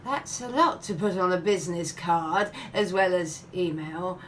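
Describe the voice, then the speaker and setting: A woman playing a prim, proud mature businesswoman in an audio comedy, genteel, a little hesitant and quick to scold. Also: fairly low pitch, fairly bright tone, a little hiss or hum. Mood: curious, genteel. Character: prim, proud mature businesswoman, easily embarrassed by her friend. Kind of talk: family comedy audio play acting.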